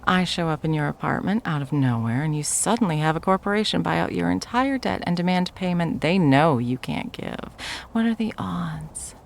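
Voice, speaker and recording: Woman acting a low, creaky-voiced possessive corporate ex-girlfriend. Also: expressive, a little hiss or hum. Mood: mocking, taunting. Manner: taunting, drawn-out endearments, dropping into breathy whispers. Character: possessive, wealthy corporate ex-girlfriend, coldly menacing and cloyingly sweet. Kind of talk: intimate ASMR roleplay, dramatic monologue.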